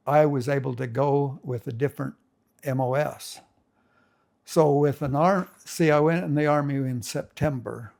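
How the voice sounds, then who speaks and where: A man's voice: medium pitch, gravelly, darkish tone. An older man casually reminiscing as a family storyteller, rambling with folksy grammar and many restarts.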